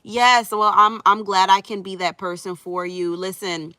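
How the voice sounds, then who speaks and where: A woman's voice: medium pitch, fairly even intonation, a little slow, sharp tone, dry recording, studio quality. A middle-aged woman, a relationship coach, chatting on a live stream and answering viewers' comments, a little stuffy from a cold, reassuring and conversational. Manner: reassuring, conversational, frequent "right?" and "you know".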